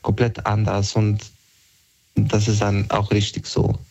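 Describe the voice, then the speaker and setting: A man's voice: on the low side, completely flat delivery, a little slow, vocal fry, darkish tone, husky, soft background music. A man in his twenties from Bosnia, a native Bosnian speaker with a Bosnian accent, chatting casually as a caller. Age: 20s. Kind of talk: casual chat. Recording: dull band-limited call audio.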